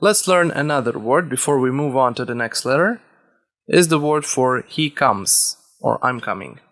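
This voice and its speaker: Casting: male tutor, native Hebrew speaker, mid-pitched voice, deliberate instructional read that repeats key words for learners. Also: expressive, fairly bright tone. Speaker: language teacher. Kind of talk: instructional lesson, explaining step by step.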